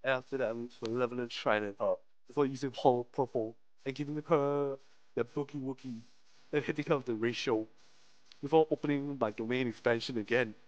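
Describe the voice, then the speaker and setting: A man's voice: mid-range voice, fairly even intonation, brisk, muffled recording. A man live-streaming a visual novel, reading it aloud with slangy commentary and frequent stumbles over the text. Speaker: game streamer.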